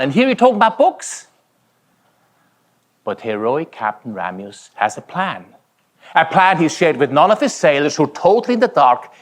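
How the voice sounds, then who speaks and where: Animated Swiss-German accented male keynote speaker. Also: on the high side. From Switzerland. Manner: dramatic, emphatic storytelling with deliberate short phrases. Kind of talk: rehearsed motivational conference keynote.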